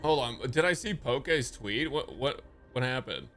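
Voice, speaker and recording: A man's voice: mid-range voice, expressive, a little slow, fairly bright tone, soft background music. A young man live streaming his reaction to a game, chatting casually in slangy, emphatic language.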